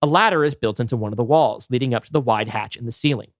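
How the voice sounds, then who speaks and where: A man's voice: medium pitch, fast, dry recording, studio quality. A man co-hosting a podcast, talking casually and narrating a script he wrote. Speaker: podcast co-host and writer.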